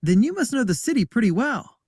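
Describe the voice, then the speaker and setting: A man's voice: very high-pitched, very animated, fairly quick, a little mellow, slightly rough. A young man asking questions in a scripted conversation for English learners. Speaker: speaker in a recorded dialogue. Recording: distant with background music.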